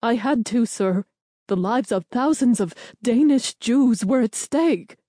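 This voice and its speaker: A woman's voice: on the high side, normal speed, dark tone, no room sound, studio quality, lightly acted. A woman playing an earnest young female intelligence clerk, anxious but determined, with an urgent, pleading manner. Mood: apologetic, pleading. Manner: pleading, self-justifying, urgent.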